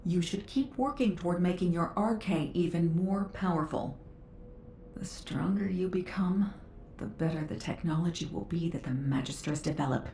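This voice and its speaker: An actress playing a self-assured, brisk woman scientist who lectures in a precise, measured way in a video game. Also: on the low side, dark tone, reverberant, slight background noise, close to an everyday voice. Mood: explanatory, confident. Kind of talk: scripted video game voice acting.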